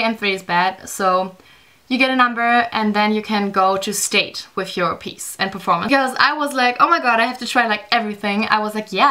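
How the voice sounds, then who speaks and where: German-accented young woman, bright voice, rambling vlog storyteller. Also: fairly high pitch. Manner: chatty, many fillers. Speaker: vlogger. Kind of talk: casual storytime. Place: room lit by daylight.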